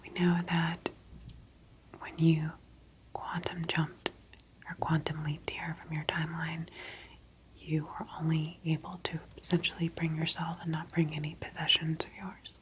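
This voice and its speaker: A woman in a soft ASMR roleplay, speaking in a breathy whisper as a gentle, reassuring clinical office worker. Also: low-pitched, level tone, a little slow, slight background noise, poor audio.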